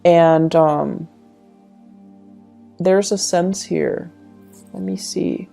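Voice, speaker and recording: Woman, tarot reader: lowish, very dark and soft-edged tone, reflective advice straight to the listener, music underneath. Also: fairly even intonation, extremely slow, creaky. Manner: reflective, advising, addresses listener directly. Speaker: tarot reader and channel host. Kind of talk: intuitive tarot reading addressed to the listener.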